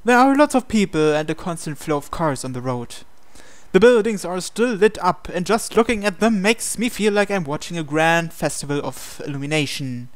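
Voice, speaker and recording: Man, slightly high voice, game host, expressive script reading, casual asides. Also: normal speed. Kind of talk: visual novel narration with casual asides.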